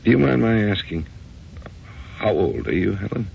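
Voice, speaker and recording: Staid widower around sixty, gravelly, a bit awkward, old radio play, muffled sound. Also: male voice, lowish voice, big pitch swings, normal speed, slight background noise, exaggerated voice. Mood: businesslike. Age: 60s. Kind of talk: old-time radio drama acting.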